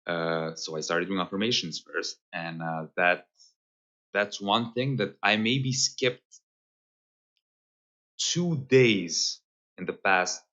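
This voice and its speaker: Male, 30, Serbian accent. Bright, low-pitched voice, reflective podcast guest who pauses often. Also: dull audio. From Serbia, Novi Sad. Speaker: podcast guest, holistic guide. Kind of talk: casual conversational interview.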